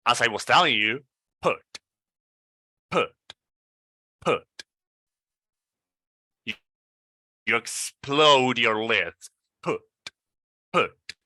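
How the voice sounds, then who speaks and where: A man from Venezuela with a Venezuelan Spanish accent, coaching English pronunciation and repeating model words slowly and deliberately. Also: medium pitch, lively intonation, pushed and bright.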